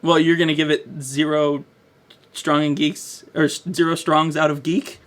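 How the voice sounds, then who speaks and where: A man's voice: on the high side, flat delivery, moderate pace, fairly bright tone. A man co-hosting a podcast, talking casually about pop culture in conversation. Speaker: podcast co-host.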